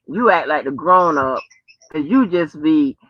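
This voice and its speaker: A woman's voice: low-pitched, animated, measured pace, no room sound. An American woman chatting casually and profanely, drawing out her exclamations and using folksy terms of address. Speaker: host. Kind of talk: casual rambling chat to viewers and on the phone. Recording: dull lo-fi sound.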